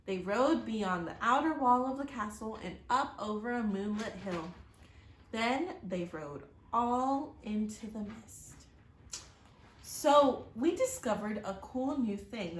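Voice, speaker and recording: A woman with a fairly high, very animated voice reads a children's story aloud in a roomy, echoey space. She speaks expressively, like a teacher, and turns to her young listeners with questions and asides. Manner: expressive, acts out exclamations and gasps. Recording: roomy echo, faint background noise.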